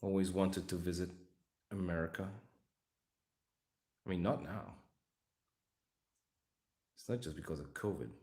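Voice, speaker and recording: Hungarian male streamer, very low voice, loose joking ramble, echoey room. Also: measured pace, pauses often, dark tone. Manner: rambling, joking, talking to chat. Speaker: livestream hobby host. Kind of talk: casual livestream chat while building a model.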